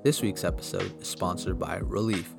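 A man hosting a podcast, friendly, reacting briefly and firing quick questions. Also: low voice, gravelly, music in the background. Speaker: podcast host. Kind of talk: casual podcast interview.

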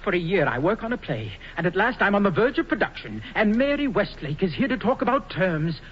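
An actor playing an indignant, self-important young playwright in a 1940s radio comedy, with a theatrical, petulant delivery. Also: male voice, sits high, big pitch swings, a little fast. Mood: outraged, wounded. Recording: muffled, noisy 1940s radio broadcast.

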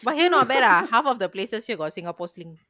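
A woman with a Malaysian English accent who teases and cuts in quickly during a casual podcast chat. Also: a little high, big pitch swings, pushed and bright, a little hiss or hum. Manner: teasing, quick interjections.

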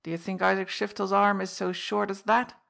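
A woman doing a hoarse scheming old fence, snarling, loud, fierce. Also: mid-range voice, flat delivery, moderate pace. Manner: fierce, then wheedling. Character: snarling scheming older male diamond fence.

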